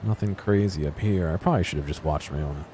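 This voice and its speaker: A man giving casual, off-the-cuff commentary as he explores a video game, low-key and musing to himself. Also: fast, mellow, a little hiss or hum. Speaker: gaming video host.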